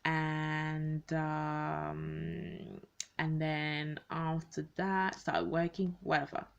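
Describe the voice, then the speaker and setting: A woman's voice: low-pitched, level tone, very slow, vocal fry, clear, resonant tone, muffled recording. A woman in her late twenties, from Belgium and born in Congo, talking unscripted to online followers in a conversational way, often rephrasing and posing questions. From born in Congo, grew up in Belgium, moved to the UK as a teenager. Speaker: video host speaking to followers. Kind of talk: unscripted personal talk to an online audience.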